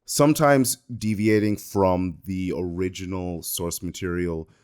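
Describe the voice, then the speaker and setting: Deep-voiced man talking analytically. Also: steady tone, unhurried, darkish tone, smooth. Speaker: podcast co-host. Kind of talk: casual analytical discussion.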